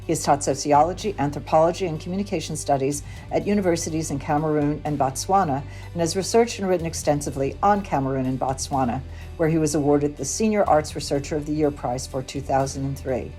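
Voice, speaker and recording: A woman announcer reading a scripted podcast introduction slowly and formally. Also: low voice, mellow. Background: music.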